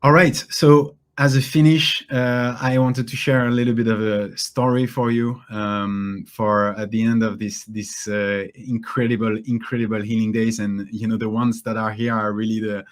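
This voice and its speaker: A man hosting an online course, closing with a casual, halting talk full of fillers and restarts. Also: on the low side, little pitch movement. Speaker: course host.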